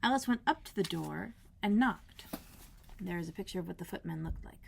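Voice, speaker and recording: A woman librarian reading a children's storybook aloud in an expressive narrating style. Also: fairly low pitch, a little fast, vocal fry, slight background noise.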